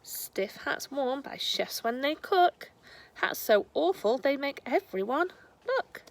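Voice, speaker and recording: A woman reading a rhyming children's storybook aloud in a deliberate storytelling manner. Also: high voice, sing-song. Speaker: storybook reader. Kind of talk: scripted children's rhyme read aloud.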